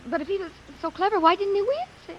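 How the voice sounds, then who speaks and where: A woman's voice: extremely high pitch, animated, fast, a bit bright, highs cut off. An American actress playing a naive, chatty, devoted detective's secretary in a 1940s radio drama, girlish and fluttery. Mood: puzzled. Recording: hissy vintage 1940s radio recording.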